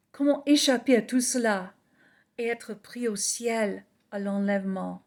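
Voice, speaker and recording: Slow earnest woman preacher. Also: on the high side, darkish tone. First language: English. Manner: earnest, measured, reading aloud. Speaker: evangelist. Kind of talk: slow scripted gospel message.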